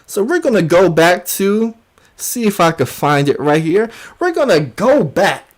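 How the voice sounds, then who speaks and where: Slow-paced man hosting mocking commentary. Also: high-pitched, sing-song. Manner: mocking, emphatic, repeats phrases. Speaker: YouTube show host. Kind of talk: informal commentary monologue.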